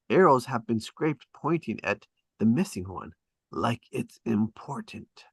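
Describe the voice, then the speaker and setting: A man's voice: mid-range voice, creaky, fairly bright tone, husky, no room sound. A man reading stage directions as dramatic scripted narration at a table read.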